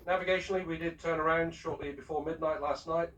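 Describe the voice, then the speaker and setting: A man's voice: fairly high pitch, steady tone, a bit bright, a little hiss or hum. Distant male ship captain on the PA. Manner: measured, courteous, formal. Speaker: ship's captain making an announcement. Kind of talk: formal public address announcement. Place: aboard a cruise ship, heard over the public address system. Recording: distant shipboard PA speaker, picked up from afar.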